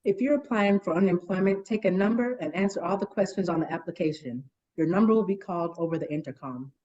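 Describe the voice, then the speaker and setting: A woman's voice: lowish voice, fast talker, dark tone, natural voice. A woman playing a brusque, matter-of-fact office receptionist in a script read. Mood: officious. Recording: dull, lo-fi sound.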